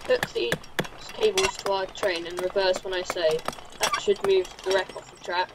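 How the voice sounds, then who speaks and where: Determined young crane driver, a rookie lad played by a teenage girl, mid-pitched, plain radio-play delivery, noisy background. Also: fairly even intonation, normal speed, natural voice. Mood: determined, urgent. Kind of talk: school radio play acting. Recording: clearly noisy background ambience.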